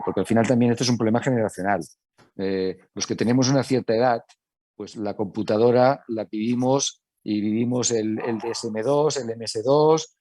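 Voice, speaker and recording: A man's voice: medium pitch, a little mellow, dry recording. A middle-aged man with an Italian accent with some Castilian Spanish in it, speaking on a panel as a medical director, talking conversationally with many restarts. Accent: Italian, with a Spanish Castilian touch. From Italy. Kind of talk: panel discussion.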